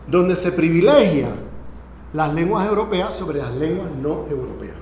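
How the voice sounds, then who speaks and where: A man's voice: on the high side, lively intonation, unhurried, darkish tone. A man giving an improvised academic lecture, emphatic and didactic, checking in with short tag questions. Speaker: lecturer teaching a class. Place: indoor lecture room. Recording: very echoey room, faint noise.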